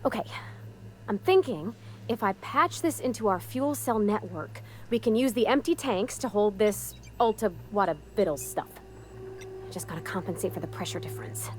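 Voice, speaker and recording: A woman's voice: medium pitch, lively intonation, moderate pace, a little mellow, a little hiss or hum, lightly acted. A woman playing a cheerful, modest young spaceship mechanic with a folksy manner in a video game. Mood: focused, uncertain. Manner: folksy, hesitant, homespun turns of phrase. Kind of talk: video game character acting.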